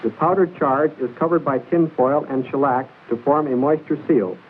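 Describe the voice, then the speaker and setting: A man narrates an old military training film in a formal, measured, precise instructional style, reading from a script. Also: medium pitch, slightly rough, phone-quality sound. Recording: hissy band-limited 1940s film soundtrack.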